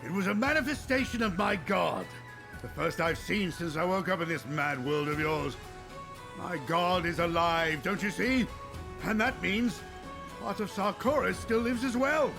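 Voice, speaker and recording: An actor playing a gruff, hot-tempered warrior devoted to his god in a fantasy video game, with a deep gravelly voice and blustering, emphatic delivery. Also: measured pace. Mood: fervent, excited. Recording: game music behind the voice. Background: loud game music.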